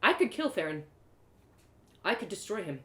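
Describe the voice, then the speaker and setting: A woman reading a first-person fantasy story aloud in expressive storybook narration. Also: medium pitch, normal speed. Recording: roomy echo.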